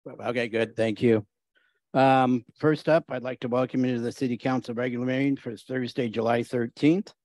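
A middle-aged mayor runs a council meeting from a desk mic, his voice of ordinary pitch. He moves through motions and votes briefly, with a friendly word between them. The recording is dry and close. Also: fairly even intonation, normal speed, a little mellow, no echo. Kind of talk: meeting procedure. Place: city council chamber. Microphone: desk microphone.

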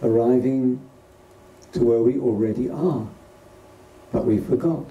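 A man leading a guided meditation as a teacher, speaking gently and unhurriedly in short phrases with pauses between them. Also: mid-range voice, lo-fi sound. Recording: distant roomy recording with faint noise.

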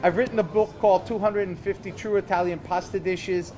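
A man in his fifties, a chef being interviewed, explaining things conversationally and haltingly, often repeating words. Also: high voice, fairly even intonation, darkish tone, a little hiss or hum, low-quality recording. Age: 50s. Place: store counter indoors.

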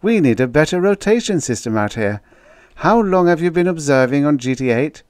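A man's voice: a little high, lively intonation, moderate pace, no echo, pitched above the performer's own voice, close to an everyday voice. A man voicing a grave, judicious space official with a formal, placating manner in a story.

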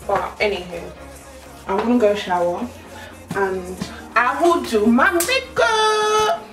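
A young woman and mother chatting to her camera at arm's length in her home kitchen, excitable and talkative. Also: fairly high pitch, slow pace, reverberant, distant mic, music in the background. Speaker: vlogger, mother. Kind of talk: casual home vlog. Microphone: handheld camera at arm's length.

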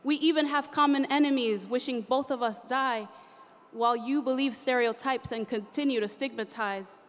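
A woman's voice: sits high, moderate pace, a little hiss or hum. Impassioned woman reciting a poem onstage. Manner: rhythmic, impassioned, rhyming delivery. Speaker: spoken word poet. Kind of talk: performed spoken word poetry. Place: large convention hall stage. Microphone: podium microphone. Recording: reverberant convention hall.

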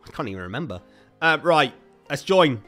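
A man streaming a game with friends, husky from being ill, playful and teasing his chat. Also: fairly high pitch, big pitch swings, fairly quick, fairly bright tone, soft background music. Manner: playful, self-mocking, talks to chat. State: sick, husky voice. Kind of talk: casual live stream chat.

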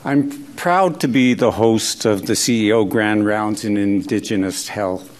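A man hosting an event as an executive, giving steady, formal prepared remarks in a conference hall. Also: lowish voice, a little slow. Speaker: health authority executive, event host.

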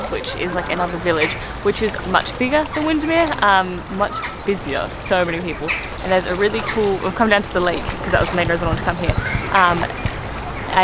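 Young Australian woman travel vlogger, chatty and bubbly, handheld camera, outdoor noise clearly audible. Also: on the low side. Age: young adult. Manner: chatty and bubbly, with frequent "like" and laughs. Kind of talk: casual travel vlog. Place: outdoors, in a rowboat on a lake and by a lakeshore. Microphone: handheld camera close to the face. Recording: outdoor wind and water noise.

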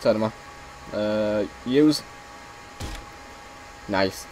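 A man commentating casually on his own gameplay, slightly hoarse as he is losing his voice, muttering reactions as he plays. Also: lowish voice, drawn-out words, a little mellow, a little hiss or hum, low-quality recording. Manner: chatty, mutters reactions while playing. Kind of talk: casual let's-play commentary.

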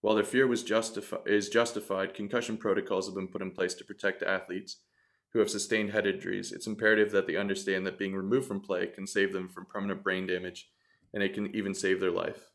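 A man in his thirties, a podcast guest, telling his own story in an earnest, reflective way with frequent restarts, on a desk mic in a bright studio room. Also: deep voice, flat delivery, fast. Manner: earnest, reflective, self-interrupting. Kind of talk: candid storytelling in conversation. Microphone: desk mic on stand.

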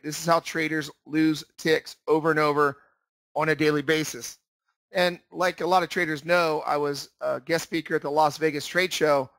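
A man's voice: on the high side, fairly bright tone, no echo. A man giving an instructional screen-share narration as a trading teacher, explaining emphatically and repeating his key points.

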